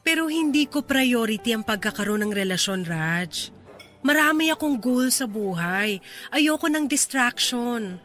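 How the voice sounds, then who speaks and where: A young woman acting a radio drama heroine, calm and firm as she gently declines a friend's love. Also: on the high side, unhurried. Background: faint music.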